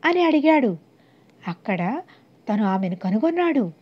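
A woman's voice: on the high side, big pitch swings, measured pace. A woman narrating a scripted moral story as an audiobook narrator, on a dull, lo-fi recording.

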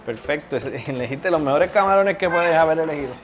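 A man's voice: on the high side, quick pace, noisy background. A man in his thirties with a Puerto Rican accent, chatting casually and jokily as he hosts and demonstrates cooking. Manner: joking, colloquial. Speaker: chef and cooking show host. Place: home kitchen. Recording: music playing behind the voice. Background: music.

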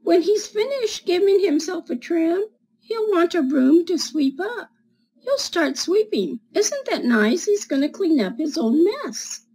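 Slow, high-pitched elderly woman reading aloud. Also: big pitch swings, poor audio. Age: 70s. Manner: deliberate, explaining pictures with gentle questions to children. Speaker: read-aloud storyteller for schoolchildren. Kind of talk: children's picture book read-aloud. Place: small home room with bookshelves, indoors. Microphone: webcam at desk distance.

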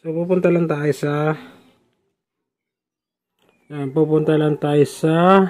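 A man's voice: fairly high pitch, flat delivery, slow, darkish tone. A young man vlogging casually about his motorcycle helmet camera setup, with chatty repeated fillers, recorded on a helmet-mounted camera mic outdoors. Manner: chatty, addresses viewers as "lods", repeats fillers. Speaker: motovlogger. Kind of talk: casual how-to vlog. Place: outdoors at home, then riding a motorcycle. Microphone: helmet-mounted action camera mic.

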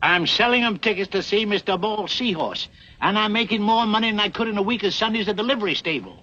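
A man's voice: sits high, fast talker, sharp tone. An older man playing a folksy, boastful old livery man turned showman in a TV western. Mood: pleased, defensive. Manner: folksy barker's patter. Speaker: actor in a television western. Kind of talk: 1960s TV western acting. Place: outdoors on a western town set. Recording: dull vintage TV soundtrack, faint noise.

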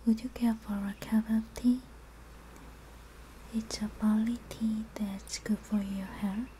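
A woman whispering softly and breathily close to the mic in a gentle, soothing ASMR spa roleplay. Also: a little high, little pitch movement, rough recording. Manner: gentle, soothing, unhurried instructions. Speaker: ASMR artist playing a spa therapist. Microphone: close microphone. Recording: faint background noise, ambient sound.

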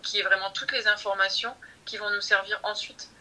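A woman's voice: medium pitch, flat delivery, brisk, bright, forceful tone, low-quality recording. A woman speaking as a podcast guest about her teacher training, thoughtful and hesitant, often restarting her sentences. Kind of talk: conversational interview.

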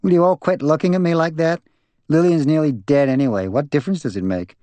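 A man's voice: on the high side, fairly quick, mellow, no room sound, pitched above the performer's own voice, lightly acted. A man voicing a blunt, resentful older woman in an audiobook, scornful and sullen. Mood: defiant. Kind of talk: dramatised audiobook dialogue.

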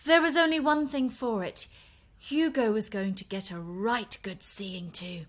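An actress playing a self-absorbed, cheerfully oblivious romance novelist in an audio drama, grand and prim in manner. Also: female voice, mid-range voice, unhurried, fairly bright tone. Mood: florid reading.

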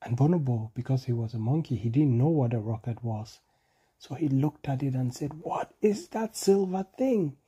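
A father improvises a bedtime story in a very dark, mellow, husky voice. He uses simple, repeated phrases and puts questions to his young listener. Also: mid-range voice, brisk. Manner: simple repetitive phrasing, prompts the child with questions.

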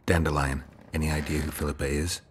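An actor playing a stoic middle-aged monster hunter in a fantasy video game, gravelly and terse. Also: male voice, level tone, fast. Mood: terse, guarded. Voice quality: gravelly, low. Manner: terse, restrained delivery.

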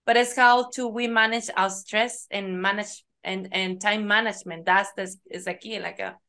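A woman hosting a podcast interview over a video call, halting and repeating words as she agrees. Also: mid-range voice, fairly even intonation, bright, forceful tone. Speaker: podcast host.

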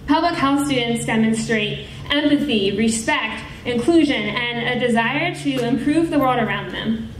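High-voiced woman giving a speech. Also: a little slow, a little hiss or hum. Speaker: student speaker. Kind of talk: prepared ceremony speech. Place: large auditorium. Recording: echoey hall, distant mic.

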